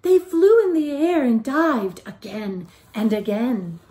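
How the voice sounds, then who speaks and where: A middle-aged woman reading a picture book aloud to young children in an expressive teacherly way. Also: fairly high pitch, takes it slowly, low-quality recording. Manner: expressive, questioning, child-directed delivery. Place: living room at home, indoors.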